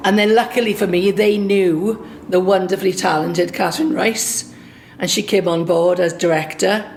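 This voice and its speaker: Woman, Welsh accent, lively storyteller, mid-pitched voice, chatty anecdote. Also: vocal fry, a little hiss or hum, low-quality recording. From Swansea, Wales. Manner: lively anecdotal, acts out quoted dialogue. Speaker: singer and songwriter. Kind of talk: personal storytelling.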